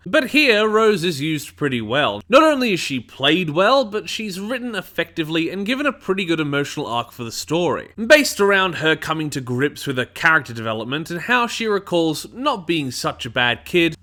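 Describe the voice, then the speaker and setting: A man's voice: on the high side, pushed and bright. A man delivering a scripted review commentary in an opinionated, analytical manner.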